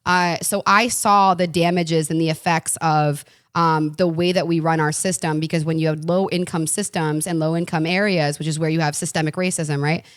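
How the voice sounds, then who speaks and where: A young woman from the East Coast talks as a podcast guest. She sounds excited and jokey and throws in quick asides. Her voice is a bit low, recorded close and dry. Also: clear, resonant tone, no echo. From Connecticut, US East Coast. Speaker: guest, relationship coach. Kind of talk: casual podcast chat.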